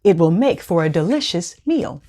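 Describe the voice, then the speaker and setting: A woman's voice: lowish voice, sing-song, moderate pace, mellow, a little hiss or hum. A woman voicing a pleased, regal storybook king in a stately, gracious manner.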